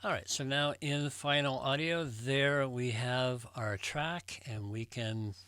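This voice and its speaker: A man giving a casual step-by-step tutorial, explaining things in a measured way with pauses. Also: medium pitch, sharp tone, a little hiss or hum. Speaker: host of a record collecting tutorial channel.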